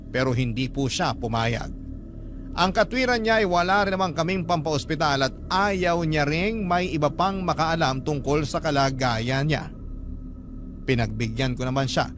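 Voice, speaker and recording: A man acting as a sorrowful, respectful young storyteller in a radio drama, narrating politely and in a measured way with a Filipino accent. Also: on the high side, natural voice. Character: sorrowful young man recounting his uncle's death, respectful. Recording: music bed under voice.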